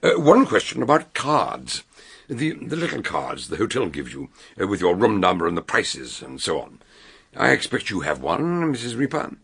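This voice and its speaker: An actor playing an eccentric, genial older English detective doctor in a radio mystery, musing and questioning with deliberate hems. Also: male voice, fairly low pitch, wildly swooping pitch, gravelly, a bit bright, husky, exaggerated voice. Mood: musing, probing. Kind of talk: British radio mystery drama.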